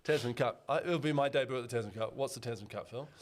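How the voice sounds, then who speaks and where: Young New male co-host with a mid-pitched voice, upbeat and chatty, for a casual sports podcast. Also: fast. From New Zealand. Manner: upbeat, chatty, laughs easily.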